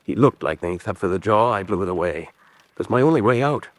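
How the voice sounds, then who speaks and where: An actor playing a desperate, hunted American spy in a radio drama, pleading with urgent intensity. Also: male voice, lowish voice, lively intonation, quick pace, no echo, close to an everyday voice. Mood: haunted.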